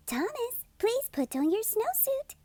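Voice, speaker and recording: Woman playing a high, sing-song exasperated mother. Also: big pitch swings, a little slow, mellow, no echo, full character voice. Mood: coaxing. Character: Thomas's mother, coaxing then exasperated. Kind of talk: children's storybook read-aloud.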